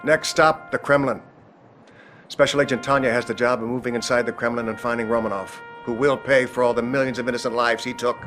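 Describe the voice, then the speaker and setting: A man's voice: mid-range voice, fairly even intonation, a little fast, natural voice. An actor playing a resolute, patriotic American statesman, solemn and stately, in a dramatic game cutscene. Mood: solemn resolve. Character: resolute, patriotic American head of state addressing his general. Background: loud music.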